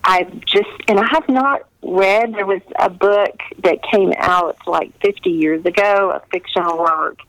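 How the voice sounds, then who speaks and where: A woman's voice: mid-range voice, unhurried, few pauses, a bit bright, dry recording, rough recording. A middle-aged American woman, a novelist, speaking earnestly and reflectively in a conversational interview. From United States. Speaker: historical romance novelist being interviewed.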